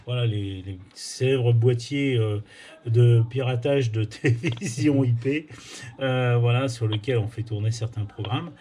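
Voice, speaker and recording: Man, mellow tone, mid pitch, casual tech interviewer, explanatory. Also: a little slow. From France. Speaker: interviewer, amateur radio tutorial host. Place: indoors at a radio amateur's home.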